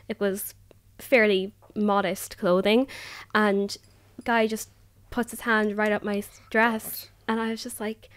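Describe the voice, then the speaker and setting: A nineteen-year-old woman with an Irish accent talks in a casual roundtable. She is chatty and conversational, telling personal stories and acting out bits of what she thought or said. Her pitch is average for a woman. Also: measured pace. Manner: chatty, animated filler "like", quotes herself. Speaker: roundtable participant. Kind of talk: casual personal storytelling in group discussion.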